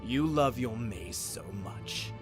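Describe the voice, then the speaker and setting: A man voicing a cold, cruel king with a slow, menacing, imperious manner in a mythic audio story. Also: mid-range voice, expressive, faint music behind, pitched below the performer's own voice. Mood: mocking, commanding.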